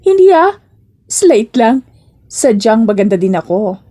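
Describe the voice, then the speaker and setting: Coy, teasing young working woman for audio romance, read by a female narrator; slightly high, mellow voice. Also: sing-song, measured pace. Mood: playfully boastful. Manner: teasing, coy, mock-annoyed.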